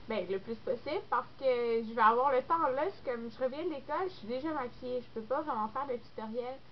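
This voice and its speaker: A young woman with a Québécois French accent, congested with a cold, chatting casually and rambling with lots of fillers in a vlog. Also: high voice. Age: young adult. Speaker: beauty vlogger. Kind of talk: casual chat to camera. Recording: dull lo-fi recording with faint noise.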